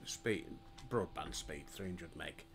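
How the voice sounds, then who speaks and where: A man's voice: low voice, lively intonation, takes it slowly, gravelly, noisy background. An older man with a Yorkshire accent, chatting casually to himself and his viewers while playing a game, recorded on a headset mic at home. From Yorkshire, England. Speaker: gaming streamer. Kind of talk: relaxed gameplay commentary.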